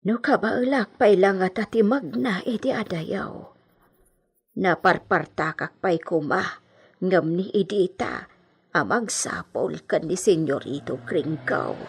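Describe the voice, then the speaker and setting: A woman's voice: fairly low pitch, expressive, slow, husky, full character voice. A woman playing an anxious, easily flustered country woman in a radio drama, exclaiming and muttering to herself. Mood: worried.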